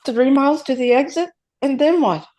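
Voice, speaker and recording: A woman playing a desperate, frightened mother in a screenplay table read, pleading and repeating herself in halting phrases. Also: sits high, warm tone, close to an everyday voice. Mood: anxious, subdued. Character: desperate, frightened mother obeying her child's kidnapper.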